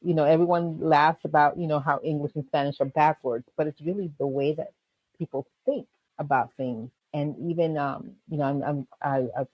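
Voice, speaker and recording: Husky woman with a slight Jamaican accent. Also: sits low, steady tone, normal speed, no room sound, dull audio. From Panama. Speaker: guest, medical interpreting executive. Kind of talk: casual interview answers.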